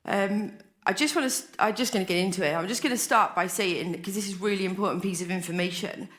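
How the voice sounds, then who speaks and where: A woman's voice: medium pitch, quick pace, a bit bright. A Welsh woman in her forties giving a candid, rambling personal share as a speaker at a recovery convention, often restarting her sentences. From Wales. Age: 40s. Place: convention hall stage.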